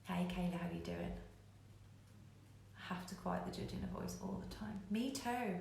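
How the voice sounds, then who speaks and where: Echoey, lower-pitched woman coaching online. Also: normal speed, gravelly, darkish tone. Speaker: business coach hosting a live online show. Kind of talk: live coaching talk to online viewers. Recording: very echoey room, faint noise.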